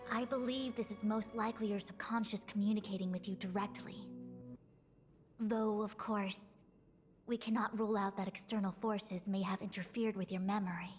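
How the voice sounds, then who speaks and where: A woman plays an enigmatic, erudite diviner from a video game. The delivery is formal, measured and analytical, at a medium pitch. Long reverb surrounds the voice, with faint music behind. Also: steady tone, natural voice. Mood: probing.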